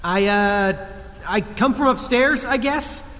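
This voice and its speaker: High-pitched, nervous, wry everyman guy. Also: slow pace, fairly bright tone, heavily acted. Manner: hesitant, sarcastic, rambling. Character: hapless, self-deprecating museum cleaner, wry and nervous. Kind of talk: naturalistic comedic audio drama acting. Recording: long cavernous reverb with faint noise.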